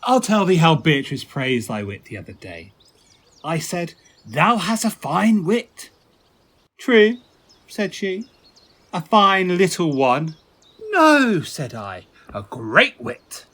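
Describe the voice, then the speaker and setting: Animated, witty prince. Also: male voice, on the high side, slow pace, heavily acted. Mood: teasing. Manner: theatrical, expressive, playful emphasis. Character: urbane prince, witty, teasing and commanding. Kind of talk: Shakespearean radio play acting. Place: home recording.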